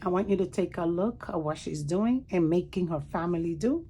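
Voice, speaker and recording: A woman reading a picture book aloud to children in an expressive, deliberate way, pausing to explain words and point things out. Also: lowish voice, a little hiss or hum. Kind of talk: animated children's read-aloud with commentary asides.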